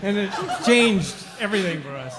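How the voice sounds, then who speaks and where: A man's voice: high voice, animated, moderate pace. A man bantering playfully as a voice actor on a live comedy panel at a convention. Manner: playful, jokey. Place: convention room with audience. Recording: noisy live hall with loud crowd.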